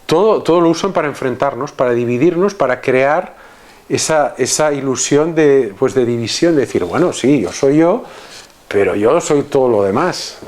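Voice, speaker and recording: A man with a Spanish accent from Catalonia, a guest in a casual conversation, talking in a halting way with many restarts and fillers. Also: medium pitch, a little mellow.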